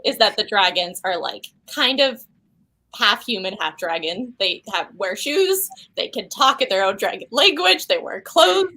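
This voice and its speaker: A young woman co-hosting a live book chat, animated and quick to laugh. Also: medium pitch. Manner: animated, laughs easily, swings up and down. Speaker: book club co-host. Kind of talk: casual live book discussion.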